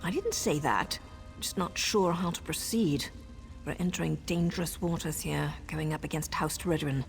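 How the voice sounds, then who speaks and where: An actress playing a pragmatic, principled dark elf assassin in a fantasy video game, sober and matter-of-fact. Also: female voice, deep voice, normal speed, gravelly, soft background music. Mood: wary, resolute.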